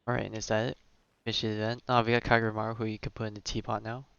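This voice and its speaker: A man giving casual live-stream commentary on a video game, thinking out loud and reading game text in muttered asides. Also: on the low side, level tone, racing, creaky, clear, resonant tone, muffled recording.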